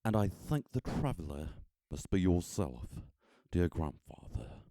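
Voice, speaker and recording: An American man reading a literary story in a measured, solemn manner. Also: low-pitched, big pitch swings, warm tone, no echo, studio quality. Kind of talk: scripted storybook narration.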